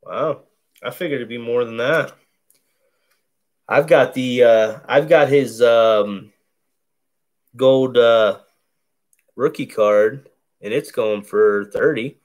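A man with a low, bass-heavy voice talks at an easy, unhurried pace as he opens packs. He names each card in a laid-back, conversational play-by-play. The sound comes from a camera over a table indoors. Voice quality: deep, bassy voice. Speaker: card break host. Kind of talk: casual card-opening commentary. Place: indoors at a table covered in cards.

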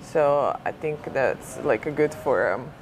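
A woman's voice: a bass voice, expressive, takes it slowly. Young Serbian woman with arena noise. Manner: thoughtful, uses many fillers like "like" and "uh". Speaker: basketball player, interviewee. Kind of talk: casual interview. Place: large indoor basketball arena. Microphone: lapel mic.

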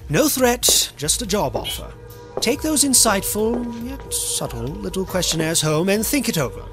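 A man's voice: sits high, sing-song, normal speed, over music. A man voicing a suave, secretive animal leader in a cartoon fandub, speaking formally and wordily with polite menace. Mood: guarded, courteous. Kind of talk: dramatic comic fandub dialogue.

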